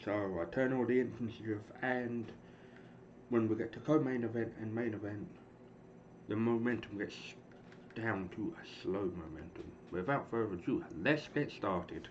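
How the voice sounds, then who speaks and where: A hoarse man in his thirties casually calling the action of a wrestling game he is streaming, rambling and clearing his throat often. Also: fairly low pitch, normal speed. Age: 30s. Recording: dull lo-fi stream audio with clear noise.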